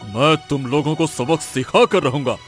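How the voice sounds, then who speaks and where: A man's voice: a little high, moderate pace, faint music behind, close to an everyday voice. A man playing a haughty, easily insulted rich landowner in a cartoon, pompous and threatening. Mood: offended, threatening. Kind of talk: Hindi cartoon voice acting.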